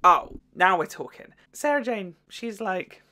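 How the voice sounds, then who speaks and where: A woman's voice: mid-range voice, very animated, measured pace, a crowd in the background. A woman hosting a casual, chatty video review, gushing and jokey with sweary asides. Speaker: video host.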